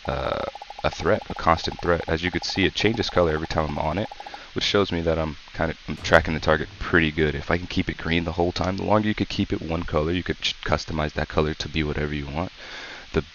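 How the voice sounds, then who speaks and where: A man hosting a gaming channel, sick with a sore throat and talking calmly and softly as he coaches through a tutorial. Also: very deep voice. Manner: calm, slow, soft-spoken coaching. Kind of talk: casual tutorial commentary. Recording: dull lo-fi mic with faint hiss.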